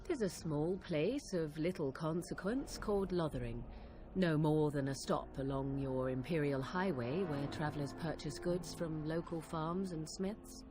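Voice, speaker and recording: A woman's voice: low-pitched, a little slow, noisy background. A woman playing an aloof, sardonic young witch in a video game, with archaic, haughty diction. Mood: aloof, matter-of-fact.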